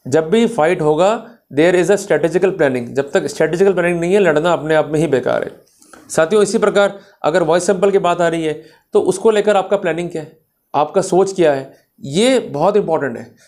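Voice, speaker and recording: Higher-voiced Indian man giving a law lecture. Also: fairly quick, darkish tone. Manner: didactic, asks rhetorical questions and answers them, checks understanding. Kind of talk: explanatory lecture to viewers, mixing Hindi with English legal terms.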